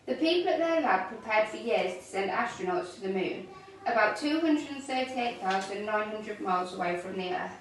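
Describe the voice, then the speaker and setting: A woman's voice: medium pitch, animated, normal speed. A woman reads a storybook aloud in a mid-range voice. Her recording is heavily echoed and distant, with faint background noise. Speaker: school staff member reading aloud.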